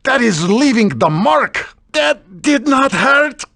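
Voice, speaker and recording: An actress playing a plucky foreign fighter in a video game, speaking in stilted, broken English. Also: female voice, high voice, sing-song, slow pace, vocal fry, a bit bright, no echo, clean studio sound, heavily acted. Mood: defiant. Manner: stilted phrasing with missing or misplaced articles. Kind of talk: video game battle barks.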